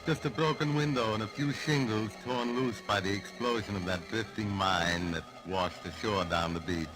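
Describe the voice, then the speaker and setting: Low and deep, with music behind him, an actor plays a mysterious traveler hosting an old radio mystery. He is genial and wry, with a sinister edge. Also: moderate pace, lightly acted, low-quality recording. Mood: genial menace. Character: sinister, genial mysterious train traveler who tells strange tales. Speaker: storyteller host. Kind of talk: 1940s radio mystery narration.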